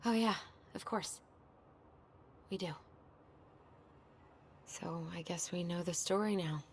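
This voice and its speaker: An actress playing a self-doubting, caring young adult sister with an American accent in a naturalistic video game cutscene. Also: female voice, fairly low pitch, slow, slight background noise. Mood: lighter, teasing.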